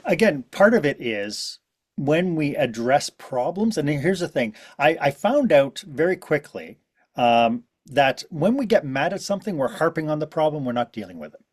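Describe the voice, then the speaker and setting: Earnest higher-voiced man from Quebec. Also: sing-song, normal speed, slightly rough. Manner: earnest, emphatic. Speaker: podcast guest, business consultant. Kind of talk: casual interview.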